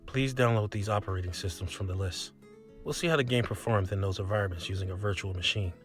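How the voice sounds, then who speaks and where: A man plays a young male love interest in a dating game. He is a calm, earnest programmer with a low voice, speaking gently and with composure over music. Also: quick pace, natural voice. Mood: calm, focused. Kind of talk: otome game voice acting.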